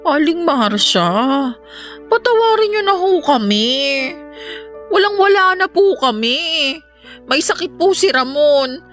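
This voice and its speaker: A woman's voice: very high-pitched, expressive, slow, a bit bright, faint music behind, heavily acted. A woman playing a humble, desperate young mother and mistress in a Filipino radio drama, pleading politely. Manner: polite, deferential.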